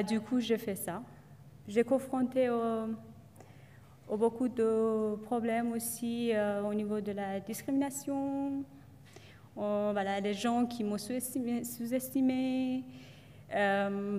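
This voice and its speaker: A woman's voice: a little high, steady tone, slow, warm tone. Hesitant woman speaking in a hall. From Iran. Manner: hesitant, many fillers and restarts. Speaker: student speaker. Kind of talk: testimonial talk to an audience.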